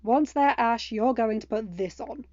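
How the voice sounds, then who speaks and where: Lady reading as a bossy young woman who cares, brisk and teasing storybook friend, dry close sound. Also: fairly high pitch, a little mellow, no echo, highs cut off, close to an everyday voice. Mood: firm, caring.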